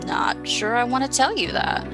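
A woman's voice: a little high, animated, normal speed, gravelly, over music. An actress playing a brash, defiant, foul-mouthed woman who likes a drink, in an audio drama. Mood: tipsy, worried. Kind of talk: modern audio drama acting.

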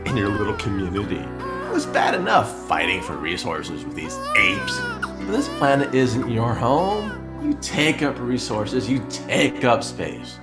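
A man's voice: mid-range voice, wildly swooping pitch, unhurried. A man playing a gloating, bitter traitor in a radio drama, sneering and taunting. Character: gloating male traitor villain, bitter and hateful. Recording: loud music under the voice.

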